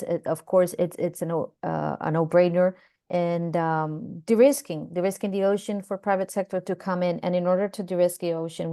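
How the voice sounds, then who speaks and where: A woman's voice: on the low side, dark tone. A woman from Costa Rica, a former deputy minister, speaking on a webinar panel with many fillers and restarts.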